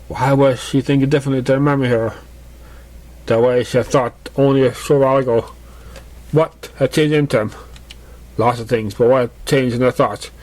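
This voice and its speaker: A man's voice: mid-range voice, a little mellow. A man reading a story aloud as an amateur narrator, now and then stumbling over the words. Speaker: amateur fan fiction reader.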